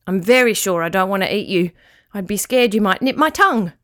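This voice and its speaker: A woman voicing a friendly old male dog in a children's story, speaking gently, politely and reassuringly. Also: mid-range voice, animated, fairly quick, lightly acted.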